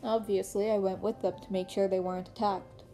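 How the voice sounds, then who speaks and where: Woman reading as a weary, mellow male teacher. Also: mid-range voice, little pitch movement, fairly quick, soft background music, lightly acted.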